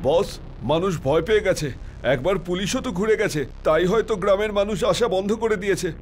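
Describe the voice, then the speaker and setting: A man playing a wheedling, scheming roadside eatery owner with salesman-like patter in an animated horror story. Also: sits high, fast, slight background noise, lightly acted. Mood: fawning, nervous.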